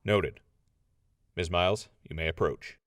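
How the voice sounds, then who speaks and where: An actor playing a stern, authoritative judge, formal and commanding, in an audio drama courtroom. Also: male voice, deep voice, a little slow, a bit bright, slight background noise. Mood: stern, even.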